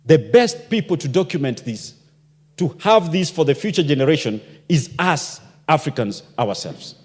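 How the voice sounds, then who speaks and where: A man addresses parliament with passion and keeps throwing out rhetorical questions. His voice sits a little high and swings widely in pitch. The long reverb of a large chamber hangs behind every word. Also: big pitch swings, moderate pace, darkish tone, breathy edge. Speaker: member of parliament. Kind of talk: parliamentary debate speech.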